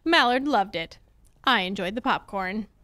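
A woman's voice: mid-range voice, expressive, measured pace, pushed and bright, slight background noise. A young woman playing a sassy, suspicious female private eye in a 1940s-style radio drama, with an arch, wry manner. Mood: wry narration. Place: live on a stage before a curtain. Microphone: stand microphone.

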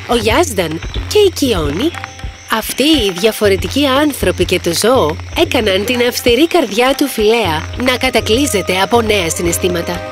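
A woman reading scripted storybook narration as the narrator. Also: mid-range voice.

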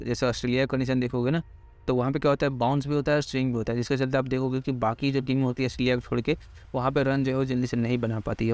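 A man giving a quick, casual cricket pitch analysis, talking straight to viewers with lots of filler phrases. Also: darkish tone. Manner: rapid, heavy filler phrases, addresses viewers directly. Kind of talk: fast casual explainer over slides.

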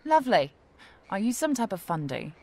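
An actress playing a feisty, skeptical, indignant woman in an audio drama, sharp-tongued and sarcastic. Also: mid-range voice, big pitch swings, moderate pace. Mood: defiant.

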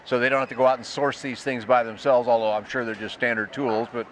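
A man's voice: mid-range voice, level tone, quick pace, crowd noise. A man doing a casual on-site interview, conversational and inquisitive, often acting out hypothetical questions. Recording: music under the voice.

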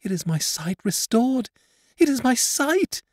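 A man's voice: sits high, lively intonation, a little slow, darkish tone, dry recording, clean studio sound, voice pushed up. A man voicing a young blind woman who pleads in a raised, strained voice. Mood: anguished, ecstatic.

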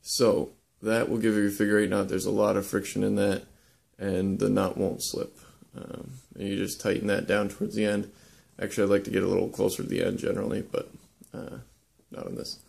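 A young man casually demonstrating how to lash boat joints, talking in a relaxed, halting way with many ums and uhs. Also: lowish voice, creaky. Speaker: woodworking instructor. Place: indoor workshop.

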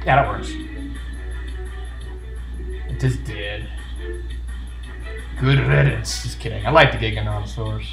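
A man's voice: on the low side, a little slow, gravelly, long pauses. Roomy-sounding man joking over game music. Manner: jokey, playfully sarcastic asides. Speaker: video game player and commentator. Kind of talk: casual gameplay commentary. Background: video game music.

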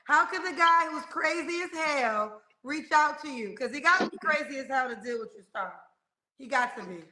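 A woman's voice: high voice, lively intonation, normal speed, pushed and bright, rough recording. A woman hosting a call-in dating show over video, blunt and teasing in casual chat.

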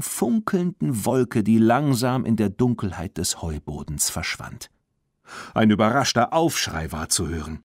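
A man reading a children's audiobook as narrator, in an expressive storytelling style. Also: medium pitch, no echo, studio quality.

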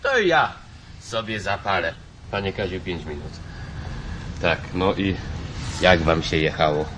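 A self-assured company director in a radio play. He is blunt and familiar, with a fairly low voice that moves widely in pitch, over audible ambient noise. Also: animated, measured pace. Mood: casual, probing. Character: blunt, irritable male company director, sociable after drinks. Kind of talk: naturalistic Polish radio drama acting.

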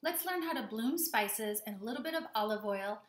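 A woman's voice: a little high, lively intonation, a little fast. A woman in her forties, a chef, giving a friendly, upbeat cooking demonstration and explaining each step plainly. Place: home kitchen, indoors.

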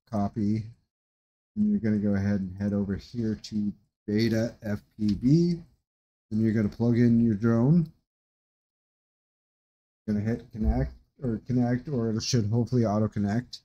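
Guy doing a casual how-to, very mellow dark voice, kinda rambling, muffled home mic. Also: mid-range voice. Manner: rambling, halting, informal explanations. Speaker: tutorial host. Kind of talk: casual screen-share tutorial. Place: small room indoors at a desk.

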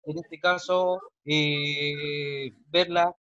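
A man's voice: a little high, steady tone, drawn-out words, bright, forceful tone, clear, resonant tone, lo-fi sound. A man in his forties with a Chilean accent, a history teacher explaining patiently over a video call, often restarting his sentences. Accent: Chilean Spanish. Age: 40s. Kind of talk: online class wrap-up.

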